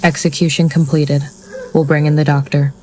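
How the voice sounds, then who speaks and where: An actor playing a curt, by-the-book executioner in an audio drama, formal and deadpan. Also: medium pitch, normal speed, a little hiss or hum, natural voice, poor audio. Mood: procedural.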